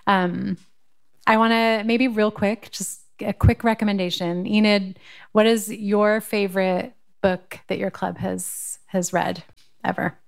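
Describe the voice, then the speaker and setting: A woman hosts and moderates a panel on a book festival stage. She asks relaxed, conversational questions. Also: mid-range voice, measured pace, creaky. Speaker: podcast host and panel moderator.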